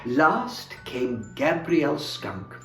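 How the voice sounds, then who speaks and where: A man playing Santa as storyteller, reading a children's book aloud in a theatrical, expressive way. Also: fairly high pitch, drawn-out words, gravelly. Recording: roomy echo, faint music.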